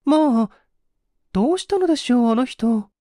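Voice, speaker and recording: Man as genteel young woman, audiobook character, anxious, polite, high pitch, mellow tone. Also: animated, normal speed, no room sound, studio quality. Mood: anxious, startled. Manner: polite, feminine phrasing.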